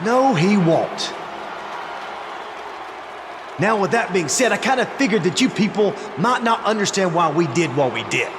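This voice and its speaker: An arrogant heel wrestler in a video game, scheming and theatrical. His fairly high male voice moves up and down a lot as he goads the crowd. It rings through a big arena over clear background noise. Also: very animated, a little slow, long pauses, big-room sound. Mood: smug, goading. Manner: goading, theatrical. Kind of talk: wrestling promo on microphone.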